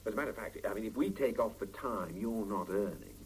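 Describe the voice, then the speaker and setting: An actor playing a well-meaning but helpless, self-absorbed young husband in a 1970s radio drama, hesitant and wheedling. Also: male voice, lowish voice, animated, quick pace, natural voice. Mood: defensive, reasoning. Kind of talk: 1970s radio drama, naturalistic domestic dialogue. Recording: hissy 1975 radio drama cassette, mono.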